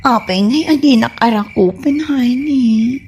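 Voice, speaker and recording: A woman playing a doting, spoiled, clingy wife in an Ilocano comedy radio drama, cooing in a drawn-out way with an Ilocano Filipino accent. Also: a little high, darkish tone, a little hiss or hum, lightly acted. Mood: clingy, pleading.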